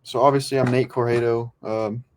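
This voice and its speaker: A man's voice: mid-range voice, level tone, a little fast, darkish tone. A young man from California, a podcast guest, talking in a laid-back, rambling way with many ums. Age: young adult. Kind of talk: casual podcast chat.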